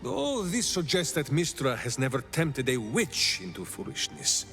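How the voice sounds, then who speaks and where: An actor voicing a hearty, earnest warrior in a video game, telling tales in rambling, grandiose, old-fashioned phrases. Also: male voice, a little high, sing-song, a little slow, fairly bright tone, soft background music, heavily acted. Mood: earnest musing. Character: hearty, rambling male warrior, earnest storyteller from far land. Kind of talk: dramatic video game dialogue.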